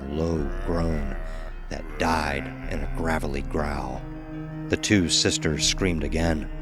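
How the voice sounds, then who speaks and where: A man narrating a scripted horror story as a dramatic, measured storyteller. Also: a bass voice.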